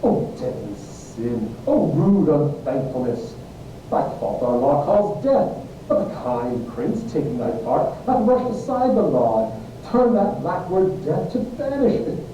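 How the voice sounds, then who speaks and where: A heavily echoing, muffled recording of a man playing a stern friar in a Shakespeare play. He has a very mellow, dark voice and counsels in exasperated but caring verse, as on a stage. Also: a little high, big pitch swings, unhurried. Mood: scolding, exasperated. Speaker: amateur actor, English teacher. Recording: very echoey room, muffled, distant, faint hiss.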